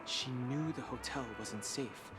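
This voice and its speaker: An actor voicing a serious, level-headed young guard in a video game, measured, reserved and formal. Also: male voice, medium pitch, natural voice. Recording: loud game music underneath.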